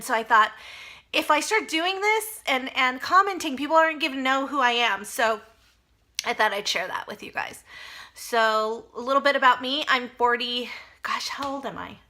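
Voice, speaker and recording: A woman's voice: on the high side, pushed and bright. A woman in her forties, a Spanish speaker from childhood, chatting casually and a bit rambly in a personal vlog. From United States. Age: 40s. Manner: chatty, rambling, self-deprecating with frequent fillers. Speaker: vlogger.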